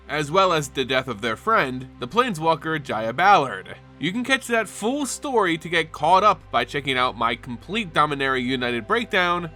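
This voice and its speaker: Bright, animated male storyteller over music. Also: on the high side. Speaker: host and narrator of a fantasy lore show. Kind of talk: dramatic scripted narration. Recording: background music under the voice.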